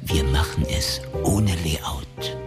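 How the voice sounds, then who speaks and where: An actor playing a brash, wily, middle-aged company boss in a radio drama, gravelly and self-important, with hesitant filler sounds between phrases. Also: male voice, very deep voice, slow, in a large hall, loud music behind the voice, full character voice. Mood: seductive, smug.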